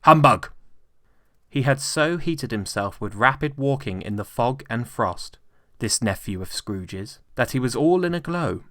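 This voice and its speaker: Animated British male storyteller. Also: medium pitch, measured pace, no room sound, studio quality. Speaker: narrator, actor. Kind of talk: dramatic audiobook narration of classic fiction.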